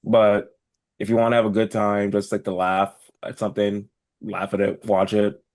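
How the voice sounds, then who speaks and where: A man's voice: fairly low pitch, flat delivery, normal speed, a little mellow, no room sound. A man giving his opinions casually as a podcast co-host. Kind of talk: casual chat.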